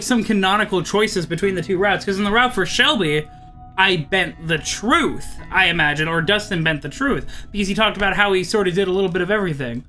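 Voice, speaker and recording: A man's voice: high voice, bright, forceful tone. A man hosting a visual novel playthrough, reading narration aloud with chatty, amused, wry asides. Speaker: let's play host.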